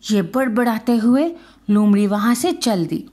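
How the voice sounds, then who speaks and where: A woman's voice: on the high side, lively intonation, warm tone. A woman storyteller narrating a scripted folk tale for children.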